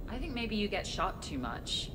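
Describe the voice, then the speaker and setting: An actress playing a jumpy, chatty young military intelligence desk worker who rambles and hesitates in video game dialogue. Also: female voice, medium pitch, very animated, moderate pace, noisy background, natural voice. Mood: uneasy. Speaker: intelligence analyst character.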